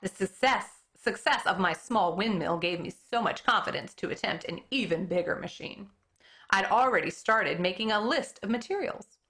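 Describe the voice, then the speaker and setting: A woman, an elementary school teacher, reading a children's book aloud with expressive storytelling, stumbling over hard names and commenting on them. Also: mid-range voice, normal speed.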